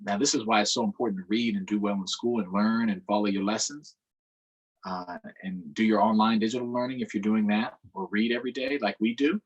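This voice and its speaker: A man's voice: fairly low pitch, a little fast, husky, muffled recording. A man hosting a virtual storytime, reading a picture book aloud to children and stopping to ask them questions. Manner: expressive, asks the children questions.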